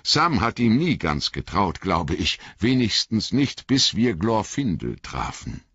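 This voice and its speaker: A man's voice: on the low side, big pitch swings, a little slow, fairly bright tone, breathy edge, dry recording, lo-fi sound, full character voice. A man reading an audiobook voices a young hobbit who asks eager, earnest questions.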